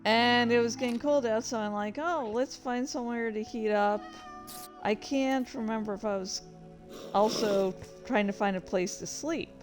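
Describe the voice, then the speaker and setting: A woman's voice: a little high, normal speed, faint music behind, poor audio. A woman telling gaming stories casually as a host, in a rambling, informal, sweary way. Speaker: host of a reading and gaming channel. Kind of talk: casual storytelling about gaming.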